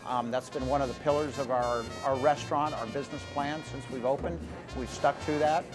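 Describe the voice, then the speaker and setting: Brisk male restaurant owner over music. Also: mid-range voice, steady tone, rough recording. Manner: brisk, matter-of-fact, with fillers. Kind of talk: interview soundbite.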